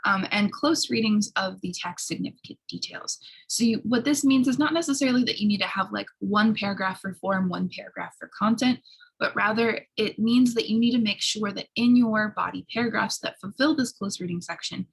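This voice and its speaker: A woman who sounds a little hoarse, giving an explanatory college writing lecture in an instructional way, often checking in with short tag questions. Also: medium pitch, brisk, low-quality recording. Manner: instructional, frequent checking tags like "right?". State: slightly hoarse.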